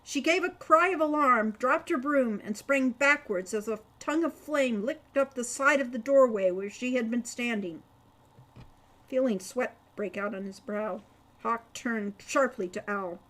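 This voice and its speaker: A woman reads a story aloud in a fairly high-pitched voice. Her delivery is that of a dramatic storyteller working from a script. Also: unhurried, fairly bright tone. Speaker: author reading own audiobook chapter.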